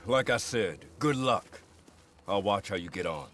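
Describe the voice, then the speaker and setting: An actor playing a cold, mercenary outlaw gang boss in a Western game, with an American accent and a folksy, menacing drawl. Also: male voice, on the low side, lively intonation, unhurried, very noisy. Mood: cold, scheming. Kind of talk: game cutscene acting.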